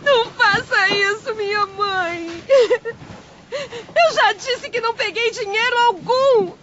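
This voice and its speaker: Very high, tearful young daughter. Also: female voice, slow pace, background noise. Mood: anguished pleading. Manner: pleading, tearful. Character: frail, humiliated young daughter, pleading and meek. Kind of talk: audiobook drama acting. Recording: dull lo-fi audio, busy background crowd.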